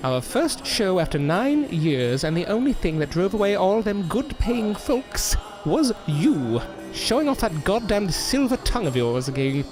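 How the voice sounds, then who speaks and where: A man's voice: high-pitched, very animated, unhurried, over music. A man playing a bitter, menacing, washed-up stage entertainer in a theatrical style for an audio drama. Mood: bitter menace.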